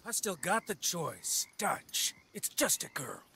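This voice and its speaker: Western game villain: selfish, goading American outlaw, highish cutting voice, slight background noise. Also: male voice, big pitch swings, takes it slowly, vocal fry, bright, forceful tone, full character voice. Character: callous, self-serving, sneering outlaw villain. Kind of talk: cinematic western game acting.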